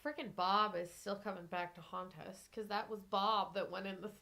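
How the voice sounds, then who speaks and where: A woman playing a tabletop game, chatting casually with animated, joking interjections. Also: medium pitch, normal speed, gravelly.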